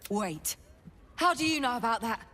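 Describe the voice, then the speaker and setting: An actress playing an earnest, dogged city guard investigator in a video game, with an intense, deliberate, confiding delivery. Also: female voice, on the high side, lively intonation, sharp tone, a little hiss or hum. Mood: brisk, suspicious. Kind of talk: dramatic game dialogue. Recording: background music under the voice.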